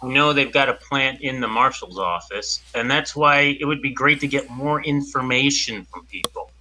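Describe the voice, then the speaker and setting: A man's voice: medium pitch, normal speed, sharp tone, pitched below the performer's own voice, rough recording. A man playing an earnest, stubborn spaceship crew negotiator in a tabletop game, arguing insistently. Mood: reasoning. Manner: insistent, argumentative. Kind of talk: improvised tabletop role-play.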